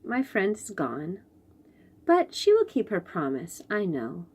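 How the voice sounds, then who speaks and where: A woman storyteller reading picture books aloud to young children, gently and with wonder, pausing to chat to the listeners. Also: medium pitch, wildly swooping pitch, slightly rough. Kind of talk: expressive picture-book read-aloud with asides to young listeners.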